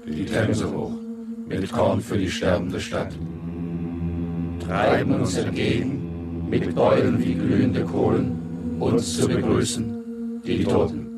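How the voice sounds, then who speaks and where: A bleak doomed plague victim, low n slow chanting, loud music behind, old radio drama. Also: male voice, poor audio. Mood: grim. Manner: halting, incantatory short phrases. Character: grim dead Londoner of the plague, bleakly bitter. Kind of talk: radio play acting, chant-like litany.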